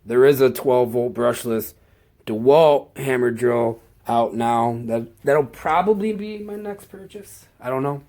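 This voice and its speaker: Slow-talking man with a stuffy cold. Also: medium pitch, a little mellow. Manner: slow, halting, enthusiastic about gear. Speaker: maintenance tech showing his tools. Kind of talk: casual tool walkthrough.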